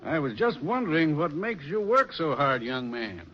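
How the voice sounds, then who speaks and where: An actor playing a kindly grocery store owner who speaks in an encouraging way, in 1940s radio acting. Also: male voice, on the high side, big pitch swings, normal speed, slight background noise. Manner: warm, encouraging. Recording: muffled old radio recording.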